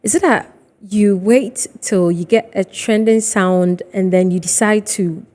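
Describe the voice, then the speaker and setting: A woman's voice: mid-range voice, measured pace, a little mellow. A woman with a Ghanaian accent hosting a conversational TV interview in a reverberant room. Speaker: TV show host.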